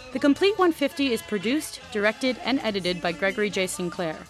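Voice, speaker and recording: Woman reading podcast credits. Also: mid-range voice. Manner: measured, formal. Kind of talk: scripted announcement.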